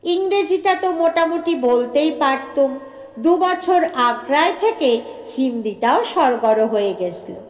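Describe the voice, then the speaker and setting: A woman narrating a scripted short story as a storyteller. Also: high voice. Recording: echoey room with long reverb.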